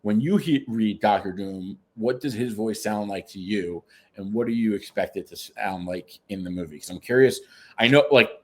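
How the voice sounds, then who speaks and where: A young man with a fairly low voice talks as a podcast co-host, eager and emphatic. His chat is loose and casual, full of fan excitement. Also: moderate pace.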